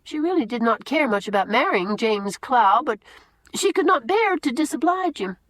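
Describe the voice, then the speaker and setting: A chattering, fussy old housekeeper in a storybook, her voice pitched up high and bouncing all over the place, as a woman puts her on. She rattles on in quaint country phrases without pausing for breath. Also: big pitch swings, a bit bright, no room sound, rough recording. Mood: chatty. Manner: talkative, quaint rural turns of phrase. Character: chatty older female housekeeper, rustic and fussy.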